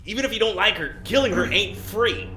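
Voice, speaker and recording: Sardonic fugitive, bright and echoey. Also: medium pitch, expressive. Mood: defiant, goading. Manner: dry, sardonic, halting. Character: sardonic, defiant fugitive on a spaceship, not quite a man. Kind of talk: audio drama acting. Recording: echoey room, faint music behind.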